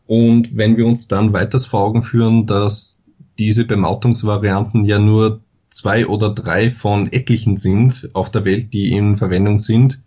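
Measured Austrian man explaining at length. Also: lowish voice, level tone, warm tone. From Vorarlberg, western Austria. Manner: measured, explanatory, with many hesitations. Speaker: podcast guest, Wikipedian. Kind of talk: podcast interview.

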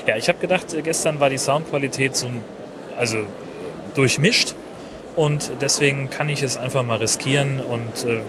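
A man hosting his podcast in a chatty, relaxed way with many fillers and restarts, recorded outdoors at a busy conference venue. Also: medium pitch, normal speed. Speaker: podcast host. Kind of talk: casual solo podcast recap. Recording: noisy outdoor background. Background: crowd and traffic ambience.

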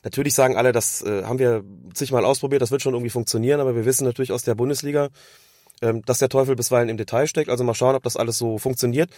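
A man, hoarse from a cold, giving long analytical explanations as a podcast co-host. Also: medium pitch, steady tone, racing, warm tone, dry recording, studio quality. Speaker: podcast co-host discussing refereeing. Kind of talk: casual podcast chat.

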